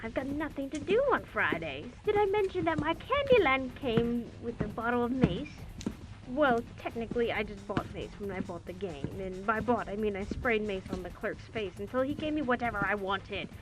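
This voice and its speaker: A woman's voice: fairly high pitch, lively intonation, moderate pace, music in the background, close to an everyday voice, low-quality recording. A woman playing a needy, gleefully psychopathic clownish male supervillain in a parody radio play, wheedling with false innocence. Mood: needy, menacing.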